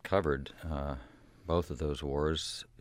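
Deep-voiced male radio host. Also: fairly even intonation, slow pace, no room sound. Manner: conversational, leading questions with filler hesitations. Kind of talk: radio interview.

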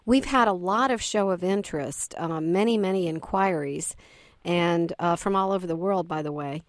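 A woman who directs a university program, guesting on a radio talk show and explaining in a measured way with frequent hesitations. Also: lowish voice, no echo, studio quality. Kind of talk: radio interview, academic explanation.

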